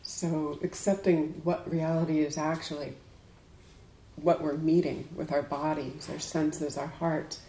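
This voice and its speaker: A woman giving a dharma talk to a group as a Buddhist teacher, speaking in a measured, deliberate way with emphatic pauses. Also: deep voice, flat delivery, warm tone. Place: indoors in a room. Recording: echoey room.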